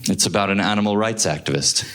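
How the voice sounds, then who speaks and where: A man's voice: deep voice, fast, a bit bright. A man acting a mild, apologetic husband and aspiring novelist in a live radio play, understated and earnest. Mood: wry, apologetic.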